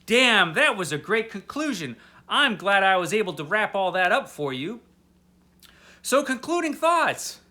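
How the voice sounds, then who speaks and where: Male vlog host, slightly high, bright voice, drunk and rambling through a casual review with dry humor. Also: big pitch swings, a little slow. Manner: tipsy, rambling; wry, tongue-in-cheek. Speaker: host of a comic book read-along.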